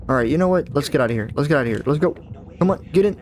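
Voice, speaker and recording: A man's voice: on the high side, lively intonation, very fast. Chatty man in a just-woke-up voice. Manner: chatty, rambling, self-correcting. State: deeper morning voice, just woke up. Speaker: gaming YouTuber. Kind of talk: casual let's-play commentary. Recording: voice over loud game audio.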